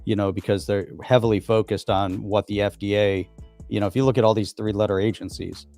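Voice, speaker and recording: A man on a casual live stream chat, rambling quickly with lots of fillers. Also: fairly low pitch, steady tone, a little mellow, no room sound, soft background music. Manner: fast rambling, many "you know" fillers.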